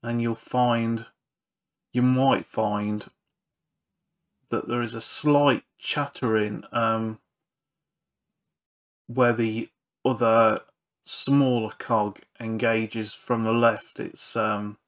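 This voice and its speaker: Low-key man with slow, flat delivery. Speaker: repair channel presenter. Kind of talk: hands-on repair walkthrough narration.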